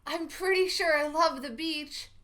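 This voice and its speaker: A woman voicing an excitable, fretful duck from a picture book, exclaiming dramatically. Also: high voice, unhurried, voice pushed up. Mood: eager, excited.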